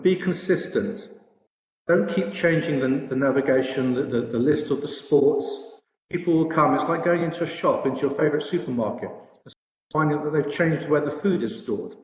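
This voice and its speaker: A British man presenting at an industry conference with a slide talk, speaking haltingly and repeating words now and then. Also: a little high, a little mellow. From Britain. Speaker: industry conference presenter, sportsbook products director. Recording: echoey room with long reverb.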